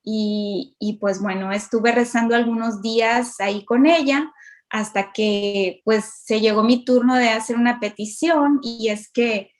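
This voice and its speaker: A woman with a northern Mexican accent giving a personal testimony as an interview guest on a video call, with hesitant pauses. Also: a little high. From Matamoros, northern Mexico. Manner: hesitant, pausing. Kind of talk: personal testimony, conversational storytelling.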